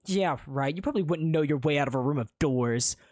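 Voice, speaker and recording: A man's voice: fairly high pitch, a little fast, a little mellow, no echo, clean studio sound, natural voice. A storyteller voicing a cheeky, boastful young male commoner hero who teases his rival. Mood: sarcastic.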